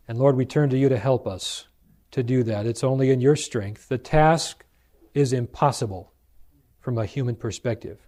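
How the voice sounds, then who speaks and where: A man who preaches, praying aloud in a solemn, pleading way with deliberate pauses. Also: medium pitch, dark tone. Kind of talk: earnest spoken prayer.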